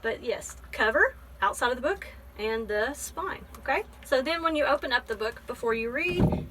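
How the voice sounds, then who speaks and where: A woman's voice: high-pitched, lively intonation, normal speed, background noise. A woman library teacher reading a picture book aloud, explaining things simply and prompting with questions.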